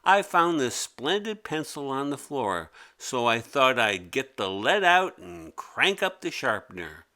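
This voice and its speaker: A man's voice: mid-range voice, big pitch swings, unhurried, hardly any pauses, pushed and bright. A man voicing a small, mischievous talking pencil creature from a children's storybook, teasing in a theatrical way. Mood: smug teasing. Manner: taunting, theatrical.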